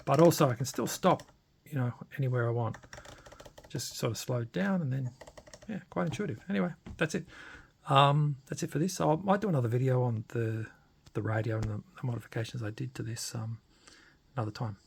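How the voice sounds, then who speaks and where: A man narrating a casual, unscripted hands-on demo, informal and halting as he thinks aloud. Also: medium pitch, vocal fry, a little hiss or hum. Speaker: hobbyist radio modifier presenting a demo.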